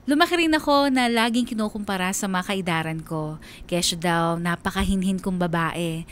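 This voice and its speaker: A woman's voice: fairly low pitch, moderate pace, a little hiss or hum. A woman with a Filipino accent narrating a scripted radio drama in a storytelling style.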